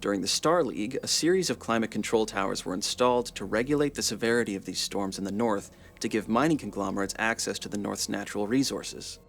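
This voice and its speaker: A man playing an earnest, analytical mech pilot in a game cutscene, measured and formal as he explains. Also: fairly low pitch, gravelly, a little hiss or hum. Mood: earnest briefing. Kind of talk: video game cutscene acting.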